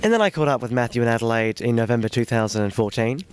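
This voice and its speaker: A man, a director explaining his production in a brisk, promotional way. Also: mid-range voice, lively intonation, a little hiss or hum. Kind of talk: casual interview.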